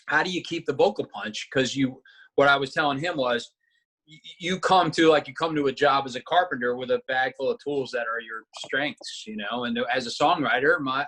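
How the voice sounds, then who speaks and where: Breathy, bright-voiced male singer. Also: medium pitch, low-quality recording. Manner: rambling, self-correcting reminiscence. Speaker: singer-songwriter. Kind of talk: casual conversation.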